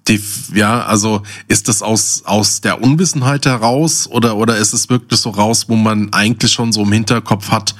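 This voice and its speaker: Low-pitched man in casual conversation. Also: fairly even intonation, normal speed, few pauses, fairly bright tone. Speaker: conversation partner asking questions. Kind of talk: casual chat.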